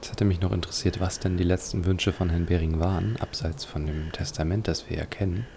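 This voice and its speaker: Deep, gravelly man commenting on a game. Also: fairly even intonation, a little fast, warm tone, slight background noise. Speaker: let's player.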